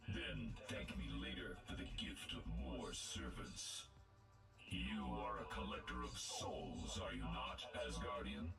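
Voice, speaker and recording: A genocidal machine villain from a video game, arrogant and condescending. The robotic voice is extremely deep and gravelly, cold and formal, sitting under loud music. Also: male voice, steady tone, slow pace, distant mic, heavily acted, poor audio. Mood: calculating.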